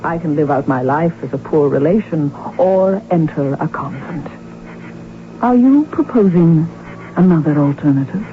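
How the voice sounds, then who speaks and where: An actress playing a witty, outspoken countess with a formal, precise manner, in the style of radio drama. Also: female voice, deep voice, animated, normal speed. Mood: guarded, formal. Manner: formal, arch, precise diction. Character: witty, outspoken countess from an impoverished noble house. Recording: muffled old radio broadcast, music behind. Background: music.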